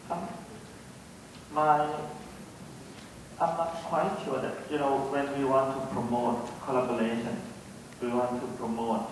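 A middle-aged man with a Thai accent speaking hesitantly and off the cuff as an academic panellist, with many pauses. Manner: hesitant, halting delivery with frequent pauses. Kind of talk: unscripted panel discussion talk. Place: large conference hall, on stage. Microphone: handheld microphone, picked up by a distant camera. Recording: echoey hall from far off, faint rumbling noise.

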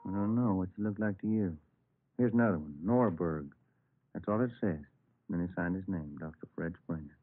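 An actor playing a plain-spoken police detective partner in a 1950s radio drama, businesslike and conversational. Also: male voice, sits low, normal speed, slight background noise, close to an everyday voice. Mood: puzzled, matter-of-fact. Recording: tinny, band-limited old radio recording.

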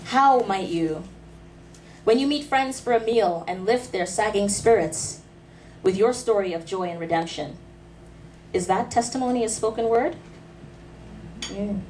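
A woman's voice: medium pitch, a little slow, long pauses, a little mellow, a little hiss or hum. Earnest woman speaking in a pub. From Canada. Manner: earnest, rhythmic, rhetorical questions, light asides. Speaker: spoken word artist and lector. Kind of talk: faith talk with poetry reading. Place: small dim pub room, seated before a live audience. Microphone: handheld mic held close. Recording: distant camera mic in a pub.

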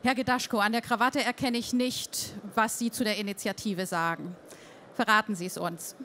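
A woman moderating a trade fair panel, briskly prompting the speakers, with a handheld mic. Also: medium pitch, fairly even intonation, sharp tone, a little hiss or hum. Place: large trade fair hall stage.

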